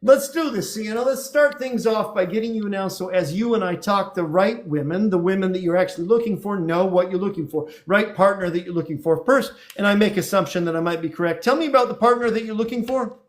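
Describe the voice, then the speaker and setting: A man's voice: sits high, brisk, few pauses. A man hosting a live dating show, chatting with viewers and callers in an upbeat, encouraging way. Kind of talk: live-stream chat, reading viewer comments.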